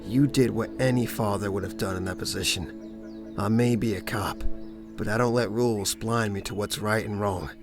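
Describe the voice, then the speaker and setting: Guy acting as a steady cop type in a zombie comic dub, music behind him. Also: fairly low pitch, moderate pace, lightly acted. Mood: reassuring. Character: level-headed male cop surviving a zombie apocalypse.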